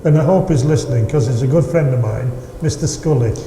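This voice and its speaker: A man's voice: mid-range voice, brisk, warm tone. A middle-aged or older man, hosting a casual radio interview in a genial, jokey way. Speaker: community radio host, interviewer. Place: live radio theatre with audience. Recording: echoey room, faint background noise.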